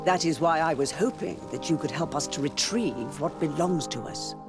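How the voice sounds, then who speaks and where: An actress playing a pious, persuasive high church leader in a video game, formal and solemn. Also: female voice, normal speed, music in the background. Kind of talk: scripted video game dialogue.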